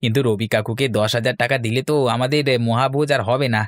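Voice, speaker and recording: A man's voice: medium pitch, steady tone, fast talker, no echo, clean studio sound, close to an everyday voice. A man playing a young villager in a cartoon fairy tale, protesting and questioning in dismay.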